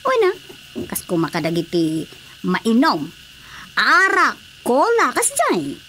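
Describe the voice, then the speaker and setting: Ilocano village lady, agreeable, takes her time, really swoopy high voice. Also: huge pitch swings, slow, pushed and bright, exaggerated voice. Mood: agreeable, amused. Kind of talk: Ilocano radio drama comedy acting. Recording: faintly noisy radio drama track.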